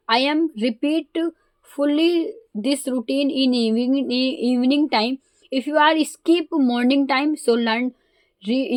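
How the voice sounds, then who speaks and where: A woman with an Indian accent, a Hindi native speaker, talking casually in halting learner English mixed with Hindi. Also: high voice, no echo. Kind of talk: casual vlog.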